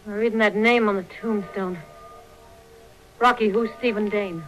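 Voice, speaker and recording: A woman's voice: medium pitch, steady tone, normal speed, fairly bright tone, highs cut off, faint music behind, lightly acted. Woman playing a terse 1940s radio moll. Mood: probing, concerned. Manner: terse, clipped questioning. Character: steely, suspicious gangster's girlfriend in a thriller. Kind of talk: 1940s radio thriller acting. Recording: vintage 1940s radio recording.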